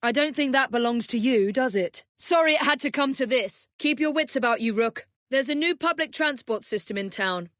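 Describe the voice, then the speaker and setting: Game role: young female English assassin and gang leader of the Victorian era. High, expressive, crisp, wry, in command; dry studio sound. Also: normal speed, few pauses, no echo. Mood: cool, controlled. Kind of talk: video game voice lines.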